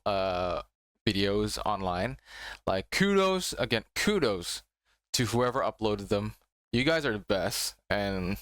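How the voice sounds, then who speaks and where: Indonesian guy rambling nostalgic over his reaction vid, lowish voice, dry close sound, faint music behind. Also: fairly bright tone, no echo. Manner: chatty, nostalgic, rambling with fillers and restarts. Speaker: YouTube reaction host. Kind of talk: casual reaction commentary.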